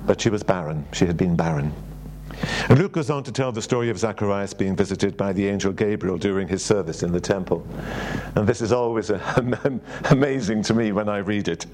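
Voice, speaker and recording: An older man with a Welsh accent giving a sermon, reading scripture in a gentle, unhurried way with frequent pauses and fillers. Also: lowish voice, a little hiss or hum. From Wales. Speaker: church secretary giving a sermon.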